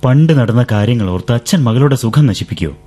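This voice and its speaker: An actor playing an earnest young suitor who gently coaxes a woman for an answer. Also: medium pitch, animated, a little fast, slightly rough, soft background music. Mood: coaxing. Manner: gentle and coaxing. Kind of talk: 1970s radio drama acting.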